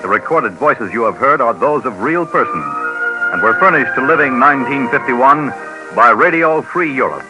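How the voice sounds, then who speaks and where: A man announcing formally on 1950s radio in a crisp, declamatory manner. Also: mid-range voice, expressive, moderate pace, music in the background, rough recording.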